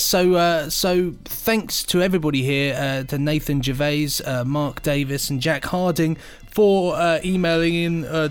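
A man's voice: on the high side, clear, resonant tone. A British man co-hosting a podcast in casual chat, playful and hesitant, often repeating words as he goes. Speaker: podcast co-host.

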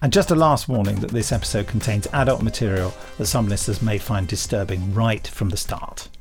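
A man's voice: on the low side, brisk, soft background music. A man hosting a podcast in casual chat with a co-host.

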